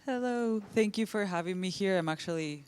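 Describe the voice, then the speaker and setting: A woman's voice: mid-range voice, brisk, a little mellow, smooth. A woman from southern Spain with a Spanish accent, an artist and researcher giving an earnest conference presentation and searching for words as she goes. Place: lecture event.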